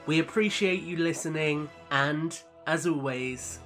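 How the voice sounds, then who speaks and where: A man's voice: a little high, measured pace, fairly bright tone, soft background music. A man speaking as a show's host, giving an earnest, grateful message to listeners. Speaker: show creator and host. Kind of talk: sincere thank-you message to listeners.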